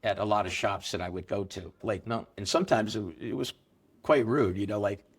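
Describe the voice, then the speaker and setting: A middle-aged man, a business co-founder, explaining his trade in a relaxed interview, telling firsthand stories. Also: fairly low pitch, brisk, husky. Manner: explanatory, anecdotal, with occasional laughs. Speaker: gold business co-founder, guest. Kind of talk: conversational interview answers.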